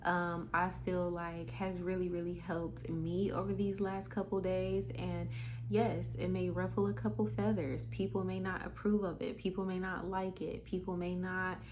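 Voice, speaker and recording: A woman's voice: on the low side, level tone, moderate pace, darkish tone, a little hiss or hum. A woman in her twenties giving a casual motivational monologue, candid and emphatic, swearing freely and calling the listener honey. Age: 20s. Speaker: spiritual vlogger.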